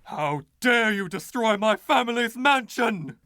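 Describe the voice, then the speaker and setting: A man's voice: high voice, lively intonation, unhurried, bright, forceful tone, no room sound, clean studio sound, voice pushed up, full character voice. Man acting out pompous, fussy ghost of a dandy. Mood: appalled. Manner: pompous, fussy, old-fashioned slang. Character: haughty, indignant ghost of a rich 1920s dandy.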